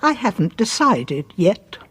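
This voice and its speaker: An English actress playing a frail, stout older neighbour in a radio drama, genteel, coy and gently needling. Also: female voice, mid-range voice, big pitch swings, measured pace, husky. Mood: quietly menacing.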